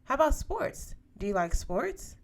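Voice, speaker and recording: A woman reading the part of an eager, encouraging male school recruiter in a story, with a polite, enthusiastic pitch. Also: very animated, measured pace, creaky, heavily acted. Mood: upbeat, encouraging.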